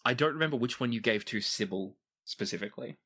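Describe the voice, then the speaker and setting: A game master with a mid-pitched voice and very animated intonation narrates a tabletop adventure. The delivery is conversational and descriptive, improvised as it goes. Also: fast talker, husky.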